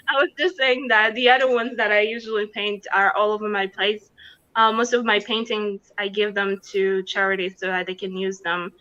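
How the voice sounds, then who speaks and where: A woman from the Congo talks through her plans with passion and care. Her voice is bright and forward. The audio is muffled, like a video call. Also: mid-range voice. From Democratic Republic of the Congo. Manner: earnest, passionate, measured. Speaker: pageant contestant. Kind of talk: interview answers over video call.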